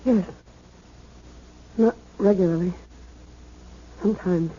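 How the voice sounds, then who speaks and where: An actress playing a fragile, sad, frightened young woman in a 1970s radio drama, with an anxious, plaintive, halting delivery. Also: lowish voice, dark tone, breathy edge. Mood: downcast, tearful. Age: young adult. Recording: dull lo-fi 1970s radio recording.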